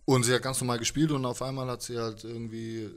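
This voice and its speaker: A middle-aged man telling a personal story as an interview guest, thoughtful and halting, in colloquial words. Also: medium pitch, little pitch movement. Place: indoors, living room with instruments. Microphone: handheld microphone held close.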